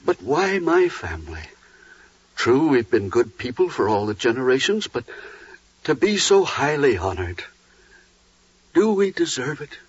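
A man's voice: mid-range voice, big pitch swings, a little slow, pauses often, slightly rough, no room sound. An actor playing a troubled, humble, pious biblical father, earnest and theatrical in 1940s radio drama style. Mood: stunned. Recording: muffled, dry vintage 1940s radio recording.

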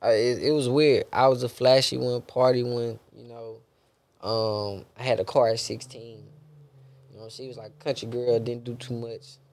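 A man's voice: mid-range voice, flat delivery, moderate pace, a little mellow. A young adult man, a gospel rapper talking loosely in a casual interview, rambling and dropping bro and man often.

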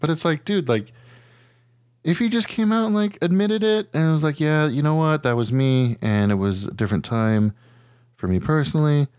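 A man's voice: a little high, normal speed, clear, resonant tone, dry recording, studio quality. An American man, middle-aged, co-hosting a casual podcast, telling stories bluntly with plenty of swearing and mimicked quotes. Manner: blunt, profane, animated storytelling with mimicked quotes. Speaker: podcast co-host. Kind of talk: casual chat.

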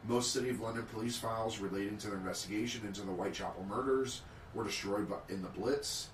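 A man's voice: fairly low pitch, fast talker, far from the mic, a little hiss or hum. A man co-hosting a casual podcast, reading prepared history notes aloud with small slips. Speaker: podcast co-host. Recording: roomy, off-mic sound.

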